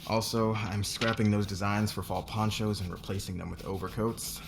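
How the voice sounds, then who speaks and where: Noisy ambience sits behind a very low male voice. A man plays a demanding office boss in an audio drama, issuing clipped orders with withering sarcasm and open scorn. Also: level tone, moderate pace, gravelly. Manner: sarcastic, curt, commanding. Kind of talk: scripted audio roleplay acting.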